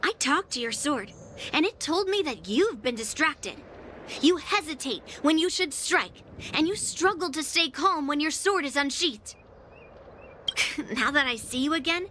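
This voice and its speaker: High-pitched cocky bratty young swordgirl. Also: bright, forceful tone, a little hiss or hum, exaggerated voice. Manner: teasing, boastful, drawn-out delivery. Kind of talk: anime video game voice acting.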